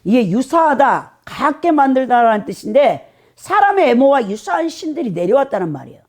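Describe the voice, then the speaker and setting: A middle-aged woman preaching with a Jeolla accent. She speaks in a high voice that rises and falls in big, lively swings. Her delivery is emphatic, and she keeps calling out to her congregation. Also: a little fast. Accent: Jeolla Korean. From Jeolla, South Korea. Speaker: pastor. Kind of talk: sermon.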